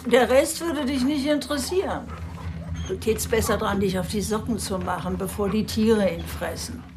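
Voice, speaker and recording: A woman's voice: mid-range voice, normal speed, noisy background, natural voice. Actress playing a blunt, plucky old village woman. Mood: blunt, feisty. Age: older. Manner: blunt, folksy, self-assured. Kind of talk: radio drama acting.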